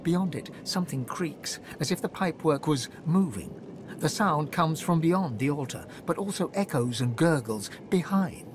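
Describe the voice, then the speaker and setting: A man playing a solemn, mysterious narrator in a fantasy game, with measured, evocative storytelling. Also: on the high side, animated, noisy background, natural voice.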